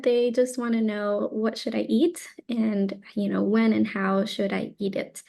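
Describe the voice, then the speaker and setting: Gravelly-voiced woman dietitian explaining cheerfully. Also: mid-range voice, a little mellow. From Texas, born in Colombia. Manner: enthusiastic, explanatory, with frequent fillers. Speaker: dietitian, podcast guest. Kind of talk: casual interview talk.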